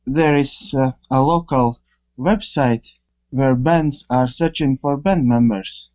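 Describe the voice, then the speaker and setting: A man, a composer guest, speaking plainly in halting English. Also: medium pitch, darkish tone, highs cut off.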